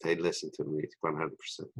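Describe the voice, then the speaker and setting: A man with a Polish-American accent hosting a live webinar as a doctor. He explains a group exercise haltingly, with frequent restarts, and laughs easily. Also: rumbling low, little pitch movement, gravelly, slightly rough, raised voice.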